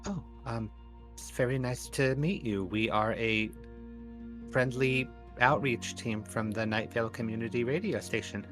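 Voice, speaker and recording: A man's voice: medium pitch, measured pace, music in the background. A man playing a tabletop roleplaying game, improvising casually in a hesitant, tentative way.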